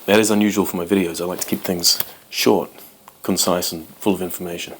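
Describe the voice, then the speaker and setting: A man's voice: sits low, flat delivery, fairly quick, a little hiss or hum, rough recording. A man in his twenties, born in Wales, chatting casually to camera as host of his guitar channel, rambling with many ums. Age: 20s.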